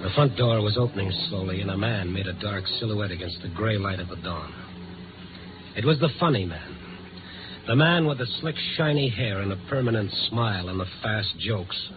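A man's voice: lowish voice, expressive, normal speed, lightly acted. Tinny old radio noir, guy playing tough american reporter, slangy and wry, voice jumps around a lot. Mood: tense narration. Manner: hard-boiled, slangy. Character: tough Chicago newspaper reporter, wry and protective. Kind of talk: 1950s radio drama acting with noir first-person narration. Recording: tinny old 1950s radio recording, music behind.

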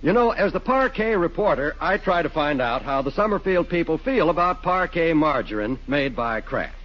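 A muffled old radio recording of a male announcer. He reads scripted sponsor copy in a 1940s style, with a fairly high pitch and animated intonation. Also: moderate pace. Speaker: announcer delivering sponsor message.

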